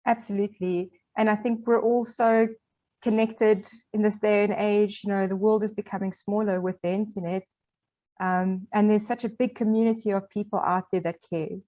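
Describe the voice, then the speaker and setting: A woman's voice: medium pitch, steady tone, normal speed, dark tone. Woman guest, program coordinator, encouraging tone, muffled lo-fi sound. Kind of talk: interview answers. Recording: dull lo-fi audio.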